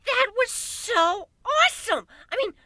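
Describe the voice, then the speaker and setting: A man voicing a hyperactive, excitable little filly with a squeaky voice in a dramatic story reading. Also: a little slow, no room sound, clean studio sound, full character voice. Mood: excited. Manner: gushing, excitable.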